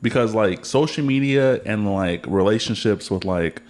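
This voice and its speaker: A man's voice: fairly low pitch, normal speed, a little mellow. A man of about thirty hosting a casual podcast conversation, rambling and joking in loose, slangy speech. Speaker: podcast host.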